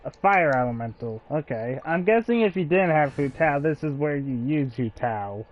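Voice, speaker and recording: A man's voice: fairly high pitch, expressive, normal speed. A man chatting casually as he live-streams a video game. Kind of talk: casual live gameplay chat. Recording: dull, lo-fi stream audio.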